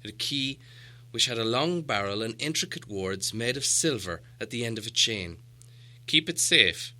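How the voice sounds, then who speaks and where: A man's voice: medium pitch, normal speed, pushed and bright. A man reading a storybook aloud as an audiobook narrator, in a dramatic storytelling style. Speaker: audiobook reader.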